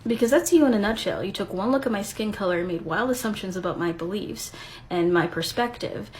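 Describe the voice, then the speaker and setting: An argumentative woman whose first language is Spanish, answering a critic point by point in a response video. She sounds indignant, and she speaks at an ordinary, middling pitch. A faint hiss runs underneath her voice. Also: a little fast. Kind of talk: rebuttal commentary to camera.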